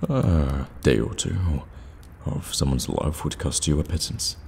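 Very deep, gravelly sly warlock, acted by a man. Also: full character voice. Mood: sly, bargaining. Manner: soft-spoken flattery, measured and teasing. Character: sly, courteous, teasing evil male warlock shopkeeper. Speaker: voice actor in an audio roleplay. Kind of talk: ASMR roleplay, intimate direct address to the listener. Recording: cavernous reverb, ambient background noise.

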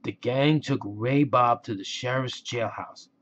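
A man's voice: mid-range voice, unhurried, a bit bright, muffled recording, a little hiss or hum. A man narrating a children's storybook aloud.

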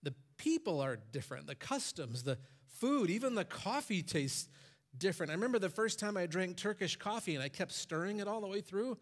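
A man's voice: high voice, very animated, fairly quick. A pastor in his forties preaching on a church stage, his voice lifting when he quotes commands. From Michigan, USA. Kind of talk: sermon.

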